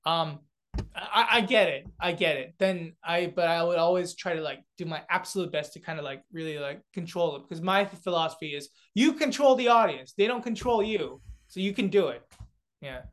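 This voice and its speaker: A man's voice: sits high, normal speed. A young man from South Korea, a comedian guest chatting casually and acting out little voices. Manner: animated, many restarts and fillers, acts out voices. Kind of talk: casual chat.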